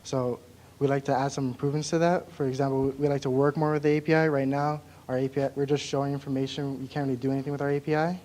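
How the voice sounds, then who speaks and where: Young man, from the United States, student presenter, casual candid talk, mid pitch. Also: flat delivery, quick pace, darkish tone. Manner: casual and candid, some restarts. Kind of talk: project presentation.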